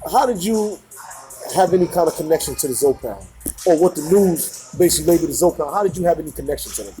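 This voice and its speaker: A male interviewer with a slightly raised pitch speaks in a measured, deliberate way, setting up the story and then putting his questions. Faint music runs under his voice. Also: lively intonation, dark tone, rough recording. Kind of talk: magazine interview with scripted intro.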